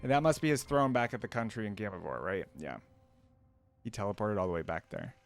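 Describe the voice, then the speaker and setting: A man giving casual, halting reaction commentary as a video host, informal and easily impressed. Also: fairly low pitch, faint music behind.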